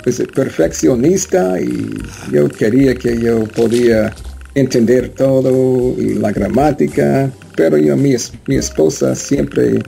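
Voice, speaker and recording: Brief: American man with a learner's accent, hesitant and slow, very gravelly, dark tone. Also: mid-range voice, slight background noise. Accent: American English. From United States. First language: English. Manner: halting, hesitant, with many fillers and restarts. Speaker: interview guest, Spanish learner. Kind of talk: casual interview chat.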